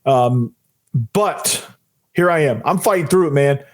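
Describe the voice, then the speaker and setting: Hoarse, fired-up man hosting a podcast. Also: on the high side, expressive, a little slow. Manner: fired-up, rambling, repeats phrases, says "man" often. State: losing his voice, hoarse. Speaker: podcast host. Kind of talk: solo sports podcast talk.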